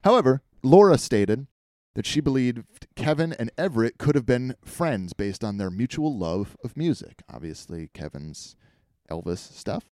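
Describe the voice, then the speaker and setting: A man's voice: mid-range voice, very animated, moderate pace, a little mellow, no echo. A man hosting a podcast, telling a comedic story in a wry, irreverent way. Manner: wry, irreverent, swears casually.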